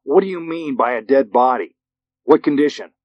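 A man's voice: medium pitch, lively intonation, normal speed, no room sound, lo-fi sound, voice pushed up, close to an everyday voice. Man playing an easygoing small-town state trooper. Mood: businesslike. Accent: American. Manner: casual, polite.

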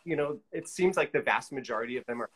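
A middle-aged man talks as a guest in a casual video-call interview. His pitch is medium, and he is easygoing, working out his thoughts as he speaks. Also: expressive, fast, fairly bright tone, breathy edge, poor audio. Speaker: guest, collage artist. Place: indoor studio room, video call.

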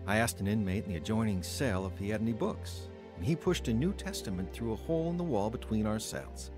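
A man's voice: on the low side, brisk, music in the background, lightly acted. An actor playing a troubled, hot-tempered working man recounting his past in a dramatic radio play. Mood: brooding, regretful. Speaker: narrator and lead actor. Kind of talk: first-person dramatic radio narration and acting.

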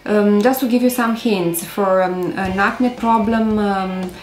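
A woman in her thirties from Romania, speaking English with a Romanian accent, gently hosting a beauty tutorial to camera with frequent hesitations. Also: medium pitch, fairly even intonation, takes it slowly, echoey room, soft background music. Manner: gentle, careful delivery with many hesitations. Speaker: beauty vlogger. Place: indoors by a stone wall.

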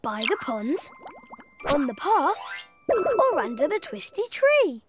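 A playful young cat from a preschool cartoon, very high in pitch and unhurried. The cat is cheerful and kind, always wondering and encouraging. Music plays clearly behind the voice. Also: wildly swooping pitch, full character voice. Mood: helpful, thoughtful. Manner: bright, wondering, gently encouraging, speaks to small children.

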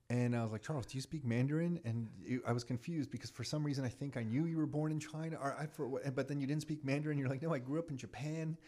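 Middle-aged male host, mid-pitched, supportive, chatty, studio mic. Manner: friendly, encouraging, animated exclamations. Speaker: podcast host. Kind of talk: podcast interview. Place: office podcast studio. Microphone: studio mic on boom, headphones.

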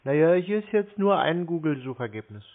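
A man's voice: on the high side, big pitch swings, brisk. Grumbling man on a phone-like line. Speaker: scam baiter posing as a victim on a call. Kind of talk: phone conversation, stalling. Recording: narrowband telephone-like sound.

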